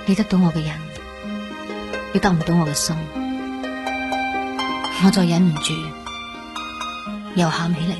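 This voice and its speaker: A woman plays a lovelorn young Hong Kong woman confiding her thoughts in a radio drama. Her voice sits a little low, wistful and measured, over clear background music. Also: steady tone, close to an everyday voice. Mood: sad, wistful.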